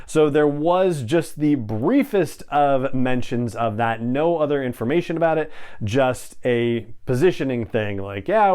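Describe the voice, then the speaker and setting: A man's voice: mid-range voice, darkish tone, smooth. A man hosting a podcast solo, giving fan news commentary in a conversational way with chatty asides. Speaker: podcast host.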